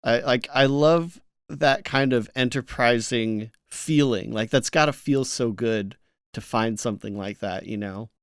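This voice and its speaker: A man's voice: mid-range voice, a little slow, clear, resonant tone, no room sound, clean studio sound. A man co-hosting a gaming podcast, giving informal conversational commentary with joking banter. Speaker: podcast co-host.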